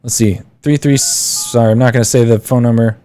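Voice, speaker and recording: A man's voice: medium pitch, fairly even intonation, brisk, mellow. A man in his thirties hosting a live call-in show, giving casual advice in a rambling way with many fillers and restarts. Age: 30s. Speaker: podcast host.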